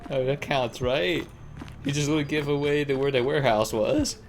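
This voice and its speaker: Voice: mid-range voice, fairly even intonation, normal speed, soft background music. A game streamer giving casual live commentary while playing.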